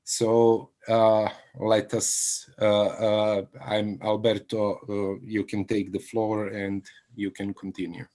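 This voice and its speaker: A man moderating an online panel who speaks haltingly, with many fillers. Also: on the low side, monotone, dark tone.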